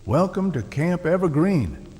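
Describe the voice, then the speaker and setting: Man playing a bright, higher-pitched camp boy. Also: unhurried, in a large hall. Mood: bright, eager. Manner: cheerful, steady, as if rehearsed. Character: bright, confident young boy at summer camp.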